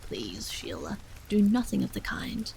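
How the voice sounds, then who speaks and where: A woman reading aloud and voicing a troubled husband who speaks gravely, dully and stubbornly, with halting pauses. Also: medium pitch, huge pitch swings, a little mellow, slight background noise, full character voice, poor audio.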